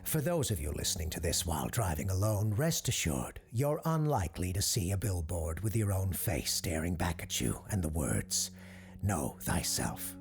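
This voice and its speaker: A man reading scripted, eerie documentary-style narration in a measured, deliberate way with dramatic pauses. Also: sits low, animated, music in the background. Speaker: host and narrator.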